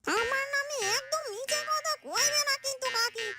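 A woman playing a feisty, indignant young village housemaid in a cartoon, scolding and defiant. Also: very high-pitched. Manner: scolding, defiant, rapid retorts. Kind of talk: Bengali cartoon dialogue. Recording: voice over loud music.

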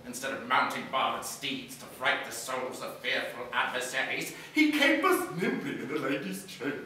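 A man's voice: a little high, bright, forceful tone, a little hiss or hum, heavily acted. A middle-aged actor playing a bitter, scheming king with a Spanish accent, declaiming theatrically. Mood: grand declaiming. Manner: declamatory, exaggerated, volatile. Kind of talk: theatrical stage monologue. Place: large indoor theater stage. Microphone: distant camera. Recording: echoey theater stage from far off.

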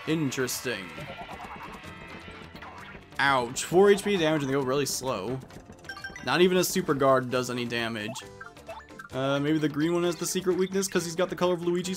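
Young guy doing a let's play, kinda high voice, animated, music behind him. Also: moderate pace, pauses often. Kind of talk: casual game commentary.

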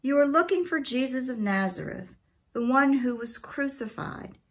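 A middle-aged woman reads scripture formally, with deliberate, careful phrasing. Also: high voice, expressive. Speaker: scripture reader. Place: small room at home.

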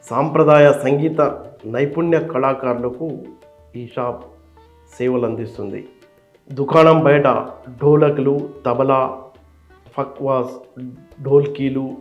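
A man's voice: mid-range voice, darkish tone. A man from India, working as a reporter who narrates explainers and asks interview questions. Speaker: reporter and presenter.

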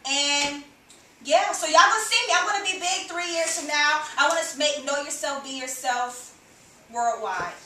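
A playful eighteen-year-old woman talks loud and high with a bright, sharp voice. She sits far from the camera in an echoey room. A faint hiss sits behind her. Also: moderate pace. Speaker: host. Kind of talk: casual group chat. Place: carpeted living room, indoors.

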